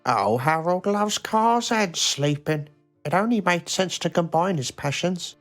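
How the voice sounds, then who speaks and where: A woman's voice: lowish voice, lively intonation, a little slow, faint music behind. A woman playing a stern, callous mother in a game, talking in a matter-of-fact, scolding way. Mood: blithe, disapproving.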